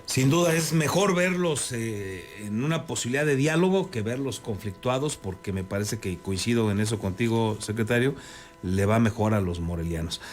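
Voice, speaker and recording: A man's voice: mid-range voice, lively intonation, moderate pace. A man with a Mexican accent hosting a news interview in a conversational way. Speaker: news program host, interviewer.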